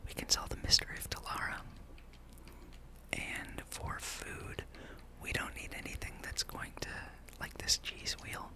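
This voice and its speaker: A gaming ASMR host whispering softly and without voice in an unhurried, casual ramble over gameplay, close to the microphone. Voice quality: soft, unvoiced whisper.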